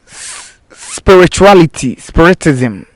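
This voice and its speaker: A man's voice: a little high, sing-song, takes it slowly, no room sound, rough recording. Ghanaian male interviewer, dry close sound. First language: Twi. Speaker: interviewer, host. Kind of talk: casual interview questioning.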